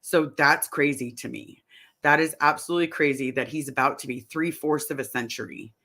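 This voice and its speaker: A woman's voice: low-pitched, steady tone, a little fast, fairly bright tone. A middle-aged woman chatting casually on a live stream, reading viewer comments aloud and rambling through her answers. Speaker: vlogger answering live chat.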